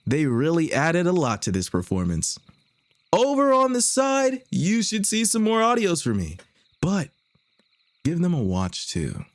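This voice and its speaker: A man's voice: fairly high pitch, huge pitch swings, measured pace, dry recording. A man who hosts an audio roleplay channel, giving an upbeat sign-off to his listeners.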